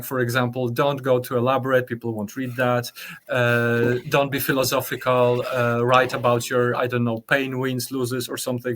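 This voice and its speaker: Polish-accented man, thoughtful and halting. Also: medium pitch, a bit bright, a little hiss or hum. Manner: thoughtful, hesitant, many fillers and restarts. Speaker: guest artist. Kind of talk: conversational interview.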